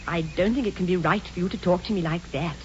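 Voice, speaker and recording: An actress playing a demure, self-possessed young upper-class English girl in a 1940s radio comedy, crisply polite and candid. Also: fairly low pitch, quick pace, a little mellow, lightly acted. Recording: dull, noisy 1940s radio broadcast.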